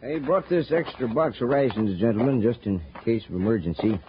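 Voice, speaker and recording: An actor playing a folksy, naive, good-natured settler with an eager, hesitant manner in an old space radio drama. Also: male voice, medium pitch, brisk, natural voice. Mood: friendly, eager. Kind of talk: 1950s radio drama acting. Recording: muffled old radio recording, faint noise.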